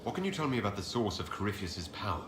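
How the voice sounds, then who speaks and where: A low, expressive fantasy hero. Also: male voice, fast. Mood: inquiring, composed. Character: composed male fantasy hero, commanding Inquisitor asking questions. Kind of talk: video game dialogue acting. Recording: roomy echo, clear background noise.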